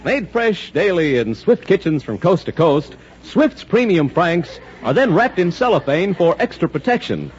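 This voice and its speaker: A man's voice: sits high, sing-song, moderate pace, few pauses, slight background noise. A man with an American accent delivering scripted 1940s radio announcements in a polished, emphatic way. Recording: muffled 1940s radio recording with music.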